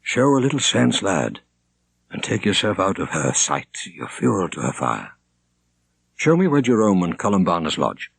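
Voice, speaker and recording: A man voicing a shrewd, kindly older monk in an audiobook, measured, thoughtful and gently wry. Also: low-pitched, huge pitch swings, gravelly, husky, dry recording, full character voice. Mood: firm. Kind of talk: audiobook character reading.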